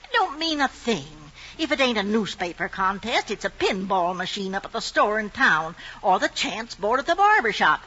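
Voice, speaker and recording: Sharp, scolding Southern farm wife. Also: female voice, medium pitch, sing-song, normal speed, hardly any pauses. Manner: scolding, plainspoken. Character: exasperated, practical, nagging farm wife. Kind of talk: 1940s radio drama acting. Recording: dull old radio recording.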